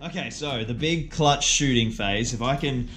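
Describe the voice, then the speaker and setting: A man's voice: medium pitch, moderate pace, fairly bright tone, slight background noise. Jokey man calling a tabletop game. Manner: jokey, self-mocking, exclaiming at dice rolls. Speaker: player and host of a tabletop wargame video. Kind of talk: casual play-by-play game commentary. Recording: music behind the voice.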